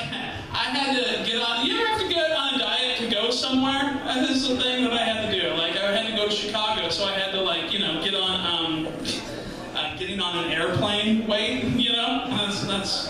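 A young man from Alaska doing a stand-up comedy set in a club, with a high, light voice and a loose, rambling delivery full of fillers. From Anchorage, Alaska. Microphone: handheld stage mic. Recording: echoey club, from far off, audience laughter.

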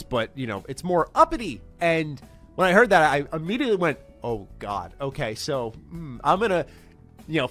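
A young man talking casually to camera from his gaming room, upbeat and animated. His voice is mid-pitched and close on a desk microphone. Faint music sits underneath. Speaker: gaming YouTuber and voice actor. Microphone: large desk mic close up.

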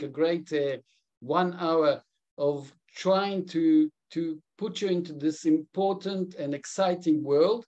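A man's voice: fairly high pitch, warm tone, lo-fi sound. A man in his fifties with a mixed South African and Israeli English accent, giving a live webinar talk over a video call with many restarts and fillers. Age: 50s. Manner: earnest, with frequent restarts and fillers. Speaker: webinar speaker.

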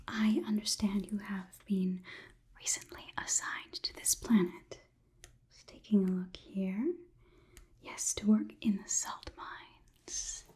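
A woman voicing a polite, condescending female robot overlord in a breathy whisper, measured and formal, as ASMR roleplay. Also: medium pitch, exaggerated voice. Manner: measured, formal, softly officious.

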